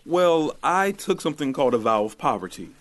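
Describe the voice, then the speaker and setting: A man's voice: a little high, very animated, a little fast. A man playing an earnest, exasperated priest in a fantasy audio drama, with a dry, disapproving, solemn delivery. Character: earnest, exasperated young male priest on pilgrimage.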